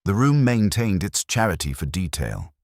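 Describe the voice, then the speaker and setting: Very deep-voiced man narrating a mystery. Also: sing-song, moderate pace, a little mellow, dry recording, studio quality. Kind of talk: scripted literary mystery narration, solo audio drama.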